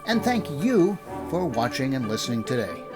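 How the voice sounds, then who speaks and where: A woman's voice: rumbling low, sing-song, moderate pace. A woman hosting, giving a courteous, formal sign-off to a discussion. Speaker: host. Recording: music under the voice.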